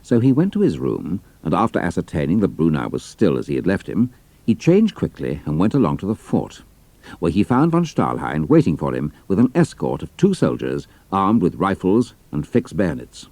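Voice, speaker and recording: Deep british guy narrating an adventure story, really animated range, close dry sound. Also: normal speed, creaky, no room sound.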